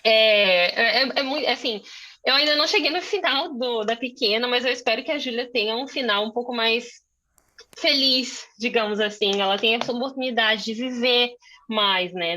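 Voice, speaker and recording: A woman's voice: fairly high pitch, normal speed, sharp tone. A young woman from Brazil moderating a conversation on a video call, thinking aloud with many fillers and restarts. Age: young adult.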